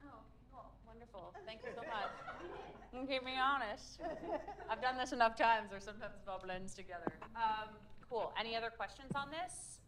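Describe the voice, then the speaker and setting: A woman's voice: on the high side, moderate pace, noisy background. A woman leading a public speaking workshop, upbeat and chatty with plenty of fillers. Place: meetup room with a group.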